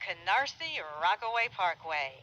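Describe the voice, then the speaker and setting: A woman's voice: mid-range voice, big pitch swings, measured pace, pushed and bright, lo-fi sound. A woman reading scripted, formally worded train announcements, enunciating each stop. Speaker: transit announcer. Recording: noisy train announcement playback with radio-like hiss.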